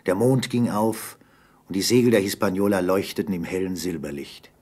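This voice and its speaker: A man with a husky voice narrating an adventure radio play as scripted storytelling. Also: low-pitched, normal speed, darkish tone.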